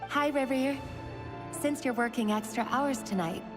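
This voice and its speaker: A woman's voice: high-pitched, moderate pace, close to an everyday voice. An actress voicing a chatty, affectionate woman reading a friendly note to her housemate in a video game. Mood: breezy, affectionate. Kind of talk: video game voice-over monologue. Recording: echoing reverb effect. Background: game music.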